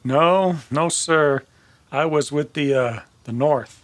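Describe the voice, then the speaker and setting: An actor playing a polite, hesitant war veteran cowboy in a Western radio drama, with an American accent. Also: male voice, fairly high pitch, slow.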